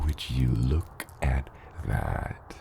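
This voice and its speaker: An extremely low, gravelly dragon father, acted by a man, mentors a hatchling in an ASMR roleplay. He takes his time, patient and gently teasing, with some whispery edges. Clear ambient noise sits behind the voice. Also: heavily acted. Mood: encouraging, amused. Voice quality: very deep, gravelly, partly whispery. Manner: slow, measured mentoring with hesitant asides.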